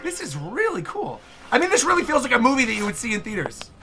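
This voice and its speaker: A man's voice: very high-pitched, wildly swooping pitch, fast. A man giving casual reaction commentary as a video host, full of enthusiastic exclamations.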